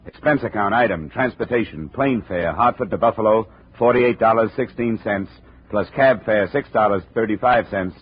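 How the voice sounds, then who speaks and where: An actor playing a wry, matter-of-fact insurance investigator who narrates and questions people in a 1950s radio drama. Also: male voice, on the low side, husky. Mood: narrating. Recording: dull old radio transcription.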